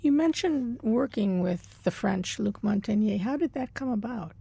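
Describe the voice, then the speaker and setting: Woman interviewer with a gravelly low-key voice. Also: moderate pace, mellow, no echo. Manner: brief, prompting questions. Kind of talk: oral history interview questions.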